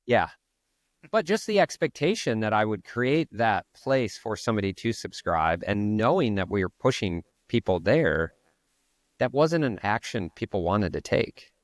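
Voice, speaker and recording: A man's voice: on the low side, lively intonation, moderate pace, no echo. A man co-hosting a casual marketing podcast, explaining things step by step as he thinks aloud. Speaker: podcast co-host.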